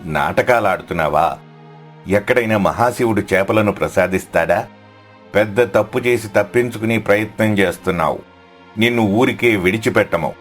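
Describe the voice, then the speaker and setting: A man's voice: fairly low pitch, normal speed, soft background music, lightly acted. A man playing a haughty, bullying village fisherman in scripted story dialogue. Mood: angry, accusing.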